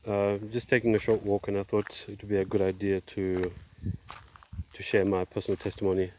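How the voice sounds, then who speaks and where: A middle-aged man giving a personal testimony outdoors, speaking in a halting, measured way with pauses. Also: lowish voice, monotone, a little mellow, a little hiss or hum. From Zimbabwe, born in Zambia. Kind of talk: personal testimony, casual monologue. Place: outdoors, on a walk.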